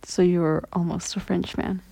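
A woman's voice: lowish voice, level tone, normal speed, creaky, warm tone. A young woman hosting a casual podcast interview, chatty and teasing, often giggling. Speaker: podcast host. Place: indoors at a family home.

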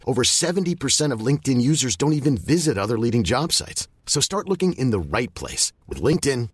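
A man's voice: mid-range voice, husky, dry recording. A man delivering a scripted advertising read as an announcer.

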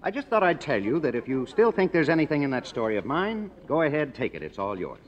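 An actor playing a cynical, wry screenwriter with a dry, clipped delivery, acting and narrating in a 1950s radio drama. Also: male voice, a little high, big pitch swings, quick pace. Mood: brusque. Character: cynical, wry male screenwriter narrating his own story. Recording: muffled, noisy old 1950s radio recording.

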